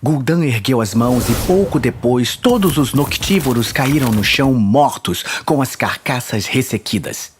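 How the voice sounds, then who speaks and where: A man's voice: mid-range voice, expressive, moderate pace, hardly any pauses, slight background noise. A man with a Brazilian accent reading scripted fantasy narration in a dramatic storytelling style. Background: faint music.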